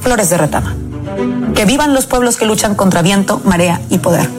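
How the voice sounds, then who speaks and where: A woman's voice: mid-range voice, lively intonation, moderate pace. A Peruvian woman with a Peruvian Spanish accent giving an impassioned, rhetorical political editorial in a rallying tone. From Peru. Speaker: political commentator. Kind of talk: scripted political editorial over music. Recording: music bed underneath.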